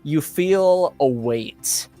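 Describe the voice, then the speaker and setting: A man narrating a tabletop roleplaying game as game master, in a halting, conversational way that addresses the player directly. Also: on the high side, lively intonation, dark tone, soft background music.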